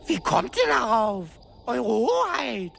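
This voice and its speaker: A woman voicing a cheeky, impish little male magical servant in a radio drama, impudent and mock-courtly with a creaky voice. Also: fairly high pitch, wildly swooping pitch, slow, slight background noise, exaggerated voice. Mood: cheeky cheer. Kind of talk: German radio drama acting.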